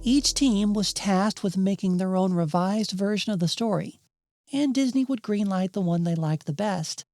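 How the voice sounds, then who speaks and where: A woman's voice: mid-range voice, moderate pace, mellow, faint music behind. A woman hosting and narrating a scripted video essay. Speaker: narrator and host.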